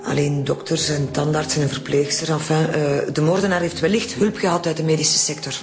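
An actress with a Flemish accent playing a breezy, informal forensic doctor in a naturalistic radio drama. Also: female voice, low voice, steady tone, brisk, darkish tone. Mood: informative. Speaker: actor. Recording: music behind the voice.

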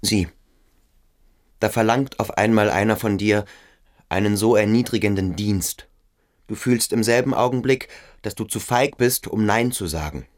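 An actor playing an intense teenage schoolboy who questions insistently in a radio play. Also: male voice, fairly low pitch, moderate pace, lightly acted. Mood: intense, urgent. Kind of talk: German radio play acting.

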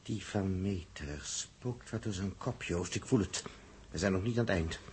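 A man plays an uneasy, watchful Dutch crewman in a vintage radio serial. His low voice is quiet and foreboding, over faint recording noise. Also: moderate pace, lightly acted. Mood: uneasy, hushed. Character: subdued male spaceship crewman, observant, uneasy. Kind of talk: 1960s Dutch radio drama acting.

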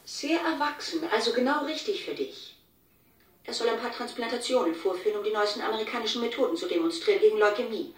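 An actress in a German radio drama playing a teasing, easily annoyed office colleague, dry and brusque, heard in a roomy space. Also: female voice, fairly high pitch, animated, fast talker, natural voice. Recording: roomy, distant from the mic.

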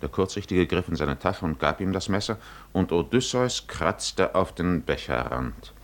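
An actor playing a solemn storyteller, narrating an ancient epic with deliberate drama in a 1950s German radio play. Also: male voice, deep voice, lively intonation.

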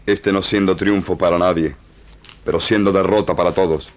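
Man playing a deep-voiced, solemn pampas chief. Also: steady tone, close to an everyday voice. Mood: grave. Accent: Argentine. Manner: broken speech in gerunds, solemn and measured. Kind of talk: radio drama acting.

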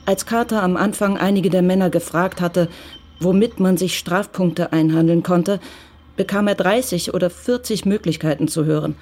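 A woman narrating a German radio play from a script in a measured, matter-of-fact way. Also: lowish voice, darkish tone.

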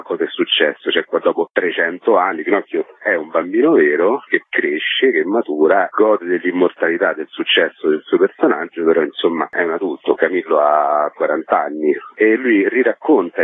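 A man with a very low voice talks casually and bluntly in a radio interview. His voice is husky and comes over a narrow phone-like line with a roomy echo. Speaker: theatre playwright being interviewed. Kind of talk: informal radio interview talk.

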